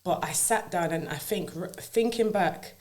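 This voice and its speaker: A woman's voice: fairly low pitch, measured pace. A woman from Jamaica, a podcast guest telling her own life story in an earnest, reflective way, often checking in with "you know what I mean". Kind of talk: personal life story in a casual interview.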